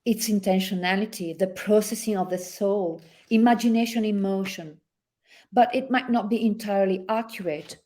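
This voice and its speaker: A woman's voice: mid-range voice, moderate pace, warm tone. Italian woman author, thoughtful and hesitant. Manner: thoughtful, hesitant with many fillers. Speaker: author guest. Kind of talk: interview and reading from her novel.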